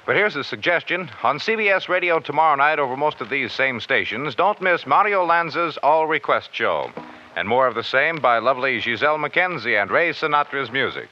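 A man's voice: medium pitch, animated, fairly quick, sharp tone. A man delivering scripted announcements in the style of 1950s network radio. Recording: dull old radio recording, faint music.

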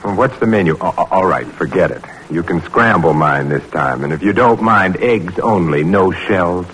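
A man's voice: deep voice, animated, moderate pace. A man playing an earnest, driven doctor and researcher in an old-time radio drama, with clipped, theatrical delivery. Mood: jubilant, playful. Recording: noisy old radio recording.

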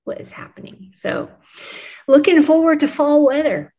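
A woman's voice: high voice, lively intonation, normal speed, mellow. Woman guest from New Mexico; easygoing, chatty delivery full of ums and laughs. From New Mexico, USA. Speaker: podcast guest, music publicist. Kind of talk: casual conversational interview.

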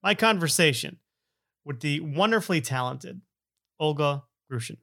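A man's voice: fairly high pitch, lively intonation, normal speed, creaky, bright, forceful tone. A middle-aged man hosting a podcast, rambling and joking, often laughing and mocking himself. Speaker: podcast host. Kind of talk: casual rambling intro.

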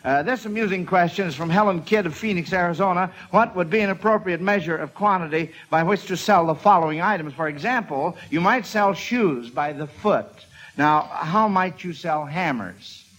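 A man's voice: high-pitched, normal speed, a bit bright. A man hosting an old-time radio quiz for children, speaking with hesitations in a vintage broadcast recording. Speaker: quiz show host.

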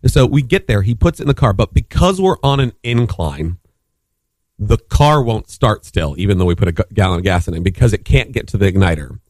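A man's voice: on the low side, lively intonation, fairly quick, darkish tone, no echo. A man telling a long story in casual chat, rambling with lots of fillers and restarts. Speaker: podcast co-host telling a story.

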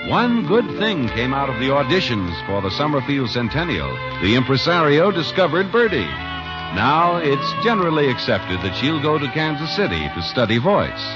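Lively American old-time radio announcer, male. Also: mid-range voice, moderate pace. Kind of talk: 1950s radio commercial and show narration. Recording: old muffled radio broadcast recording. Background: faint music.

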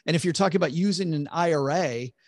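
A man's voice: fairly high pitch, fast, creaky, dry recording, clean studio sound. A man, a financial advisor guest on a podcast interview, explaining earnestly with frequent stammered restarts.

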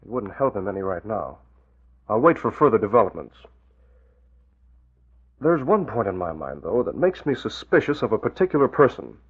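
An actor playing a composed, shrewd male newspaper boss in a 1940s radio drama, measured and authoritative. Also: lowish voice, big pitch swings, breathy edge, heavily acted. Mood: thoughtful, decisive. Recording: muffled, tinny old radio recording.

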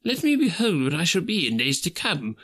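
A man voicing an old miserly gentleman who pleads in a high, strained voice. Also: sing-song, fairly quick, heavily acted. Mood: anxious. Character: old miserly man, terrified then overjoyed.

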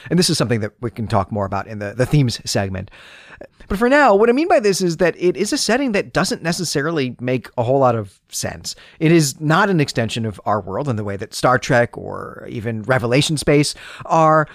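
A man's voice: mid-range voice, dry recording, clean studio sound. A man hosting a book club podcast, talking in a discursive, explanatory way with self-aware asides. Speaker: podcast host. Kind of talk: book club podcast commentary, semi-scripted.

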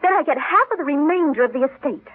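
A woman's voice: high voice, animated, fast, dry recording. Tinny, suspicious young woman. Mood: bitter. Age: young adult. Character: bitter, suspicious, determined twenty-year-old heiress daughter. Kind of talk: old-time radio drama acting. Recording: tinny narrow-band vintage radio recording.

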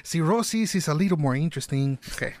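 A man's voice: fairly high pitch, animated, quick pace. A man with a Mexican accent co-hosting a comedy podcast, joking around and reading out facts between laughs. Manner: joking, laughs often, reads facts aloud. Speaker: podcast co-host. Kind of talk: casual comedic chat.

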